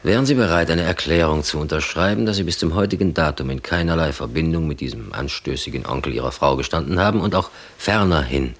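An actor playing an authoritative, calculating police chief in a 1960s German radio drama, speaking in a formal, measured official manner. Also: male voice, extremely low pitch, expressive. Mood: formal, persuasive.

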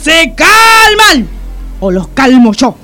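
Stern, high-voiced police sergeant. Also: female voice, expressive, slightly rough, soft background music, heavily acted. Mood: angry, commanding. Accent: Argentine Spanish. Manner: authoritative, deliberate, commanding. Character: stern, bossy female police sergeant interrogating suspects. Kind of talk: comic radio drama acting.

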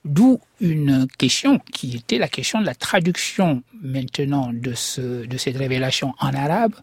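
Husky, professorial male scholar. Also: mid-range voice, animated, darkish tone, no echo. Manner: measured, professorial explaining. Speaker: guest scholar. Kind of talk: explanatory interview answers.

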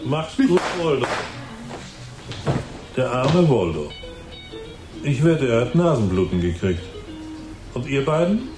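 Echoey, music behind, slow male drunk, mocking, menacing gunman, taunting drawl, radio play. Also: mid-range voice, big pitch swings. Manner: drawn-out, taunting. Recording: echoing room.

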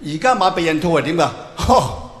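A Hong Kong Cantonese man performs observational stand-up in a high, very lively voice. He is colloquial and keeps putting teasing questions to the crowd. The sound rings around a big hall. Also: fairly quick. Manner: animated, colloquial, teasing rhetorical questions to the audience. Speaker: stand-up comedian. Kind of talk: stand-up comedy monologue, nostalgic observational. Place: on stage in a large hall. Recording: echoey hall, faint noise, live show.

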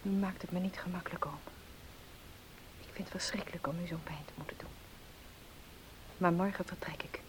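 A woman's voice: on the low side, steady tone, fairly quick, pauses often, natural voice, rough recording. An actress playing a polite, determined young woman in a Dutch radio play, speaking earnestly. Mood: pained but firm. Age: young adult. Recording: hissy old radio play recording.

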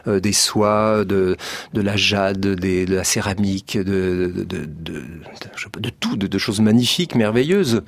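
A man's voice: sits low, a little slow, gravelly, dry recording. A middle-aged man hosting a late-night radio show in a studio, talking in a meandering way with many fillers and restarts. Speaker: radio host. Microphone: studio microphone.

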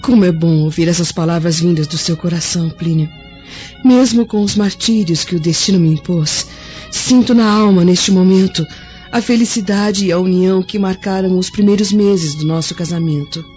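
An actress playing a gentle, devoted Roman wife in a radio drama, speaking softly from the heart. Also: female voice, lowish voice, moderate pace, soft background music, natural voice. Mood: tender. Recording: dull lo-fi audio with faint score.

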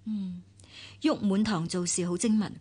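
A woman with a Hong Kong Cantonese accent acting a caring, perceptive family confidante in a radio drama, probing and gently admonishing. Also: mid-range voice, steady tone, normal speed, slightly rough, natural voice. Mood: perceptive, questioning.

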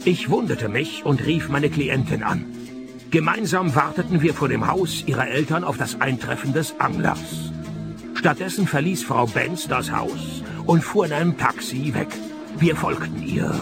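An actor playing a laconic private detective in a radio play, wry and deadpan. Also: male voice, a little high, a little slow, vocal fry. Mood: dry narration. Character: laconic male private detective narrating his own cases. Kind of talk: German-language radio play, dry first-person crime narration. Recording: music behind the voice.

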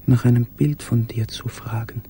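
Ein Schauspieler spielt in einem Hörspiel einen gequälten, sich erinnernden Mann im Versteck, gedämpft, stockend und intim gesprochen. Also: male voice, mid-range voice, fairly even intonation, normal speed, warm tone, close to an everyday voice. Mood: haunted, restrained. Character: haunted man in wartime hiding, remembering a beloved. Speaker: actor in a radio play. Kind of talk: literary radio play monologue. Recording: faint background noise.

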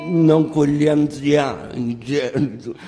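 A male actor plays a cold, cynical elder statesman delivering a theatrical monologue. He speaks slowly and deliberately in a raised pitch, pausing and humming to himself. Long reverb surrounds him, with guitar playing underneath. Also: fairly even intonation, warm tone. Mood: coldly menacing. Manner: slow, deliberate, with pauses and muttered hums. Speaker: stage actor.

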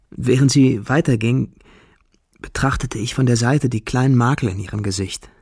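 An actor playing a lovelorn, troubled young man reading his diary in a radio drama, introspective, measured and confiding. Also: on the low side, darkish tone, no echo, studio quality. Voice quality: husky, gravelly.

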